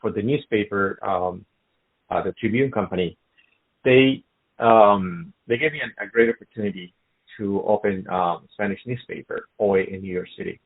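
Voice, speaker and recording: A middle-aged man from Colombia, a business guest in a casual interview, speaking haltingly with many fillers and restarts. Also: lowish voice, husky. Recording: phone-like narrow sound.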